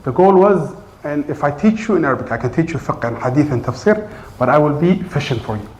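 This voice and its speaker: A man's voice: fairly high pitch, lively intonation, fast, darkish tone, slight background noise. A man who teaches Arabic, speaking English as his first language, gives an earnest, loose talk to his class with many fillers and restarts. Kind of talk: informal address to a class. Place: large room in a community center. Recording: roomy echo.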